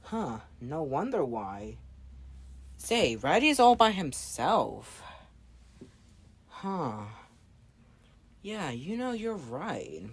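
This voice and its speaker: A woman playing a laid-back, doubtful dude with a slangy, halting delivery in improvised amateur dialogue. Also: low-pitched, very animated, husky, exaggerated voice. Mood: puzzled.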